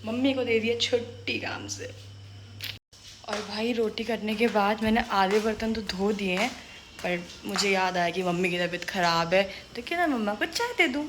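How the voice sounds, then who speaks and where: A woman's voice: medium pitch, normal speed, reverberant, background noise. A young woman chatting casually in a home vlog, mixing English words into her Hindi while she does kitchen chores. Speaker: vlogger.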